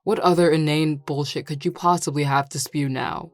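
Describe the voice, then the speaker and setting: Woman voicing a low, grumpy male bartender. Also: steady tone, moderate pace, no echo. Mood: defensive, annoyed. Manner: curt, irritated, sweary. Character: grumpy, defensive tsundere male bar worker. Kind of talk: scripted audio roleplay.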